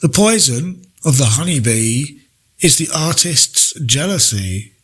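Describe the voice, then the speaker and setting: A man reading poetry aloud in a deliberate, measured way with pauses between phrases. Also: mid-range voice, a bit bright. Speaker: poetry reader. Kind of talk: poetry recitation, read aloud.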